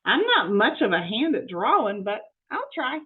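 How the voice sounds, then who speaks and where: A woman's voice: on the high side, very animated, moderate pace, husky, narrow, tinny audio. Woman voicing an eager, sing-song naive housemaid. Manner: exclamatory, wonderstruck, folksy turns of phrase. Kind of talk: children's storybook read-aloud.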